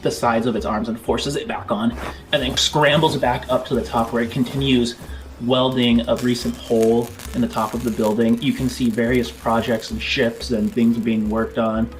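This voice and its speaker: A man narrating a tabletop space game as game master, improvising scene descriptions in halting, informal phrases. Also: medium pitch, little pitch movement, a little hiss or hum, rough recording. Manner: halting descriptions padded with "like" and "kind of".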